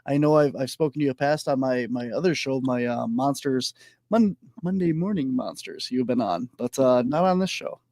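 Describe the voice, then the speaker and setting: Man hosting a podcast, strained hoarse voice, eager and halting, dry mic. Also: medium pitch, no echo. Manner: energetic, halting with fillers. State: voice going hoarse. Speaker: podcast host. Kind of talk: casual interview.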